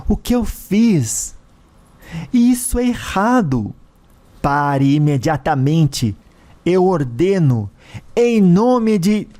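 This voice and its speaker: A man's voice: high-pitched, sing-song, very slow, pauses often, mellow, voice pushed up, heavily acted. A man voicing a distressed, indignant, exclamatory woman in a narrated spirit story. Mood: anguished, defiant. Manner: anguished, exclamatory. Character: distressed, indignant woman pleading among tormented souls.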